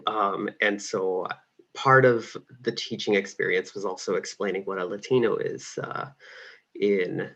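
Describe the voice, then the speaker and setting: A man speaking on a panel in a measured way, pausing with "um" often. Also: lowish voice, steady tone, a bit bright. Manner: measured, with "um" pauses. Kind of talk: casual panel chat. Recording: dull, muffled sound.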